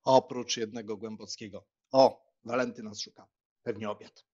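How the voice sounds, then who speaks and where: A man playing a cheerful, talkative young gentleman who teases with playful irony in an audiobook. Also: lowish voice, steady tone, moderate pace, husky. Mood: cheerful banter. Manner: bantering, ironic. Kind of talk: dramatized audiobook reading. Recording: slightly dull, muffled sound.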